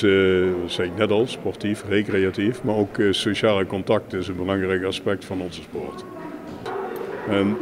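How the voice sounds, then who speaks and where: Low-voiced man over venue noise. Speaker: jeu de boules club representative being interviewed. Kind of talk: informal explanatory interview. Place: busy sports hall, on location. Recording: noisy venue with clear background ambience.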